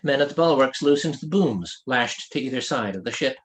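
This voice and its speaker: A man reading his own fantasy novel aloud as an author, in expressive scripted narration. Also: medium pitch, brisk.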